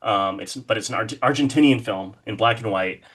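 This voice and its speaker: A man with a fairly low-pitched voice speaks as an interview guest. His delivery is casual and conversational. He comes across as enthusiastic and effusive. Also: a little fast, fairly bright tone, husky. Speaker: podcast guest, horror artist.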